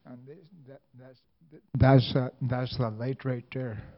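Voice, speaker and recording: A man haltingly sharing a personal vision with a church group, with many restarts. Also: mid-range voice, flat delivery, dull audio.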